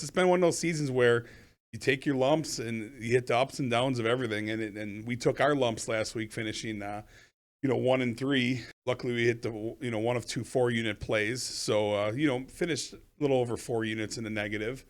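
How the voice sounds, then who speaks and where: A man co-hosting a sports betting podcast with a voice a bit off from a lingering cough, talking plainly with many pauses. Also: medium pitch. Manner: plainspoken, hesitant fillers. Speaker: podcast co-host. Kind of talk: casual sports betting chat.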